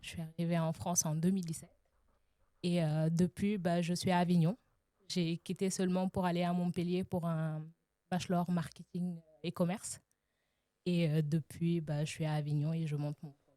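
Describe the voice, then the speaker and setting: Low, mellow-voiced young woman. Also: flat delivery, normal speed, dry recording. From Senegal. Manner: thoughtful, many hesitations. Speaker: guest, association founder. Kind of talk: casual interview answers.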